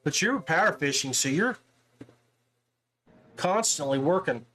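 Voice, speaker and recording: A man's voice: on the high side, expressive, unhurried, bright, forceful tone, slight background noise. A man hosting a podcast, chatting casually and informally with a guest about his hobby in a folksy way. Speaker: podcast host. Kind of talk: casual interview chat about fishing.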